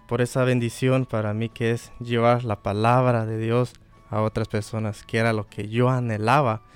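Reflective missionary man from Guatemala, smooth tone. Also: medium pitch. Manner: sincere, reflective. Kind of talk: heartfelt testimony.

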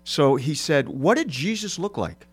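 A man's voice: a little high, very animated, unhurried, breathy edge, soft background music. Easygoing male co-host telling anecdotes. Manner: conversational, anecdotal, lightly joking. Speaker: talk show co-host. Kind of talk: casual talk show discussion.

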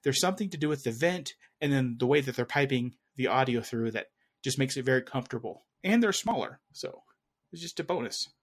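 A man's voice: medium pitch, lively intonation, fairly quick, breathy edge. A young adult man co-hosting a podcast, talking casually about tech with lots of fillers and restarts. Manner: rambling, full of fillers and restarts. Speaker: podcast co-host.

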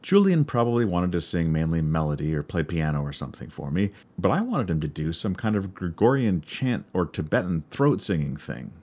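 A man with a baritone voice narrating a personal story in a wry, measured way. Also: very animated, darkish tone. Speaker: podcast narrator and musician.

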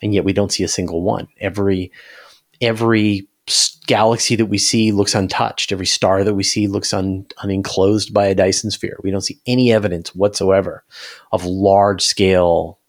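A man's voice: deep voice, flat delivery, moderate pace. A man talking casually about science as an interview guest, emphatic and repeating words to drive points home.

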